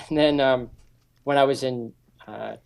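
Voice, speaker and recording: A man's voice: mid-range voice, fairly even intonation, measured pace, vocal fry, clear, resonant tone. A man in his forties, a security consultant, earnestly explaining his background in a radio interview with frequent ums. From United States. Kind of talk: interview answers.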